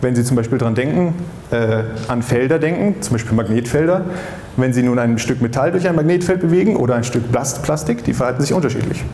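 A man's voice: mid-range voice, fast talker, mellow. A man in his forties gives a popular science lecture off the cuff as a scientist, often repeating and rephrasing his words, on a headset mic on a stage. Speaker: astrophysicist giving a public lecture. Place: stage of a dark theatre with seated audience. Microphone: headset microphone. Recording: roomy stage sound with background noise.

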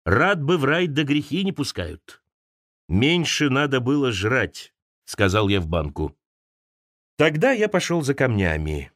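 A male audiobook narrator reads literary prose with a highly animated, mobile pitch. His delivery is dramatic and wry, stretching phrases when he recites verse. The sound is dry and close, free of room echo. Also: measured pace. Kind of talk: expressive literary audiobook narration of a first-person essay.

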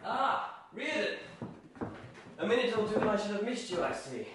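An actor playing a blunt, protective brother-in-law in a period radio drama, polite but pointed and formal. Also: male voice, high-pitched, big pitch swings, normal speed, noisy background.

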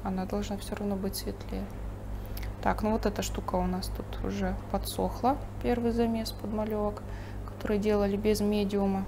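A woman artist teaching, thinking aloud calmly as she paints a still life at her table. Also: medium pitch, moderate pace, noisy background. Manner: thinking aloud while painting, calm and explanatory. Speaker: painting instructor. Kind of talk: painting demonstration with commentary. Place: studio table, overhead camera on the painting.

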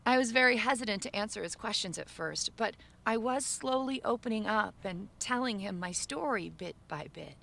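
A woman narrates a first-person story for an animated video in a measured, subdued way. Her voice has a gravelly, creaky edge and sits at a middle pitch.

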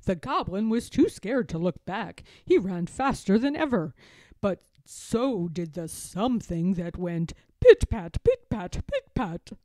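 A woman storyteller reading a children's picture book aloud in a dramatic, expressive way with suspenseful pauses. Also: mid-range voice, dry recording.

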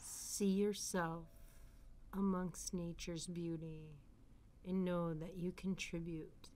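A woman leads a guided meditation at a very unhurried pace, her voice on the low side. She speaks soothingly, in measured, spacious phrases. The recording is clearly noisy, with chimes and an ambient wash behind her. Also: flat delivery, darkish tone. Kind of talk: guided meditation over a sound bath. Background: sound bath chimes and ambient wash.

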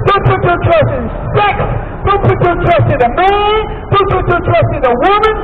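A man's voice: extremely high pitch, expressive, moderate pace. A man with an American accent preaching an impassioned, emphatic street sermon, repeating his phrases over and over. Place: outdoors on a busy city plaza by a street. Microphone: handheld microphone through a portable speaker, heard from a distant camera. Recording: distant, dull outdoor recording with street and crowd noise.

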